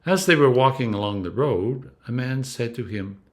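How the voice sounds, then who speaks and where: A man reads scripture aloud in the role of a clergyman. His medium-pitched voice rises and falls widely, with a deliberate, storytelling delivery that brings drama to the text. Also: expressive. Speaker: Anglican clergyman reading scripture. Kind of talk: expressive scripted scripture reading.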